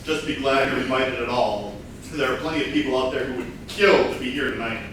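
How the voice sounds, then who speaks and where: An actor playing a jaded, divorced party guest with a dry, hesitant delivery in a staged comedy reading. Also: male voice, mid-range voice, steady tone, brisk, close to an everyday voice. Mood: wry. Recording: very echoey room, distant mic, noisy.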